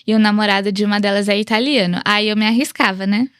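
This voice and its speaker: A woman's voice: medium pitch, fairly even intonation, quick pace, clear, resonant tone, no room sound, clean studio sound. A Brazilian woman speaking Brazilian Portuguese as an interview guest, chatting casually and expressively. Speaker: interview guest, sports psychologist. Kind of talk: casual chat.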